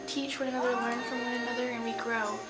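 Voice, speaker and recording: A teenage girl, a student actor, talking informally and haltingly in an interview. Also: fairly high pitch, flat delivery. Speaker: high school student actor. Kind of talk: casual interview reflection. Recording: echoey room, loud music over it.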